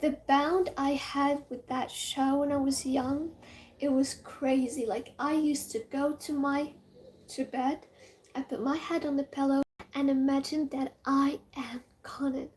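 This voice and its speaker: A young woman from Morocco with a Moroccan accent, Amazigh her first language, chatting casually and excitably, rambling with many fillers and dropping into whispers. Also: sits high, a little slow, slight background noise. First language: Amazigh (Tamazight). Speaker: vlogger.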